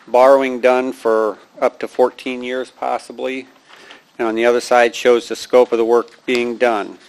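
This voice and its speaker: A man's voice: mid-range voice, clean tone. A man giving a committee report to a county board, plain-spoken and hesitant with many ums, in a large meeting room.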